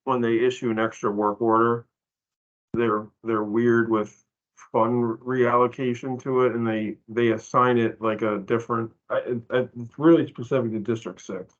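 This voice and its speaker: A man of medium pitch answers casually in a work meeting. He speaks haltingly and restarts his phrases. The sound is dull, low-fidelity call audio. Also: level tone. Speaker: staff member answering in a training.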